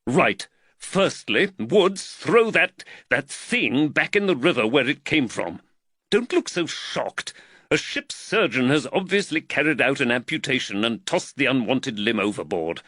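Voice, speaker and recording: Exasperated male magistrate played by a male narrator, commanding, short-tempered, bright forceful edge, animated pitch, audiobook. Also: unhurried, no echo, studio quality, heavily acted. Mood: furious, snapping. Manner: snappish, commanding. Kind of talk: audiobook character dialogue.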